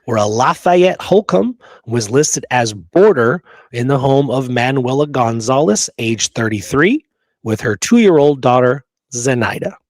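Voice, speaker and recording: A man hosts a casual history discussion in a mid-pitched voice. The sound is dry and close, as on a live online call, and he talks in a conversational way. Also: expressive, measured pace, no room sound. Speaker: host of a history discussion show.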